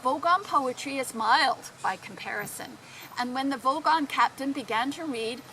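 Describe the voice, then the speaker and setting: A woman acting the deadpan guidebook narrator of a comic radio play, reading scripted absurd facts. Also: sits high, normal speed. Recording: noisy background ambience.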